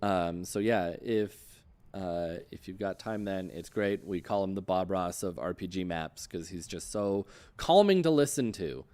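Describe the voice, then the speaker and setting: Guy hosting a gaming stream, clean smooth voice on the low side, kinda halting, casual chat. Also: animated, darkish tone. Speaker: host of an online tabletop gaming show.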